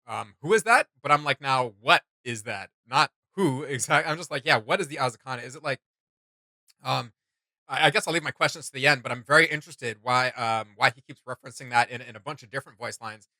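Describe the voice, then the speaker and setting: A man's voice: medium pitch, fairly quick, sharp tone, no room sound. A man hosting a reaction video, chatting casually and enthusiastically. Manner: enthusiastic, exclaiming. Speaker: reaction channel host. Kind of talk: casual, excited reaction chat.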